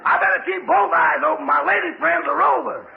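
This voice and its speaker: A man acting as a cheeky, wisecracking valet in a 1940s radio comedy, with a gravelly, rasping voice. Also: extremely high pitch, fairly quick, highs cut off, crowd noise, exaggerated voice. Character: wisecracking, cheeky valet talking back to his boss. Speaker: comic supporting actor. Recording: very noisy, band-limited old radio recording.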